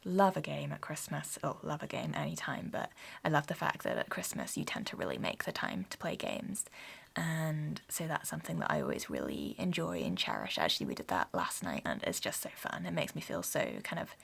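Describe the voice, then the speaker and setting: Low, gravelly-voiced young woman. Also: huge pitch swings, fairly quick. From England. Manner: chatty, gentle, sometimes dropping to a soft whisper. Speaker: vlogger. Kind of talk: casual vlog chat. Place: home, sitting by a Christmas tree.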